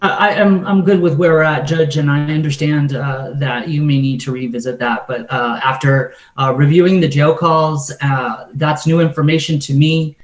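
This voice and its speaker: A man arguing as an attorney to a judge in a measured, formal, somewhat hesitant way, in an echoing room. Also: fairly high pitch, fairly even intonation. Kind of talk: courtroom argument to a judge.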